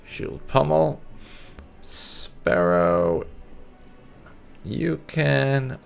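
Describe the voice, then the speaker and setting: A man's voice: mid-range voice, expressive, very slow, vocal fry, clear, resonant tone, faint music behind. A man giving casual, dry let's play commentary on a video game, talking to the characters as he plays.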